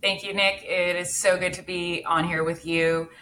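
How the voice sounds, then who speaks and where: A woman's voice: lowish voice, level tone, moderate pace, bright, forceful tone, a little hiss or hum. A woman from Mexico, a brand founder, speaking as a guest in an interview, earnest and reflective with frequent restarts. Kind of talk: casual interview chat.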